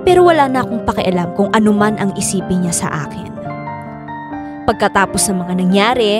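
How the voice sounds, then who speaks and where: An actress with a Filipino accent playing a remorseful young woman who narrates her confession in a measured, sorrowful way for a radio drama. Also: medium pitch, close to an everyday voice. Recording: piano music behind the voice.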